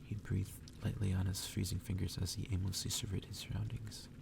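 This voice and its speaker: A man softly reading a story aloud in ASMR style, sometimes dropping toward a whisper and stumbling over the text. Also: low-pitched, little pitch movement, a little fast, vocal fry, distant mic, background noise. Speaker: ASMR reader.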